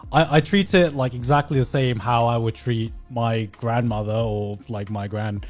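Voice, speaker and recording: A man co-hosting a casual podcast, talking in halting bursts with many restarts. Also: medium pitch, fairly even intonation, soft background music. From Thailand. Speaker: podcast co-host.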